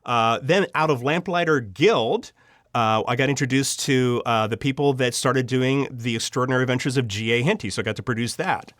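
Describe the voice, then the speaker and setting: An earnest man with a medium-pitched voice talks as a guest in an interview, telling stories conversationally. He halts and doubles back on his words as he goes. The sound is close and dry, with no room around it. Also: a little fast, a bit bright, dry recording. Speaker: audio drama writer and producer, interview guest.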